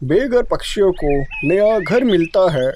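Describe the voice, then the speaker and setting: A man's voice: high-pitched, expressive, unhurried, darkish tone. A man narrating a scripted cartoon story for children, with faint noise in the recording.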